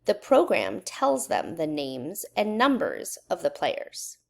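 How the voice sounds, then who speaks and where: Woman reading aloud with lively, swooping pitch. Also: vocal fry. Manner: measured, instructional, poses questions to young listeners. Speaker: educator reading a children's book aloud. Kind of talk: children's read-aloud narration.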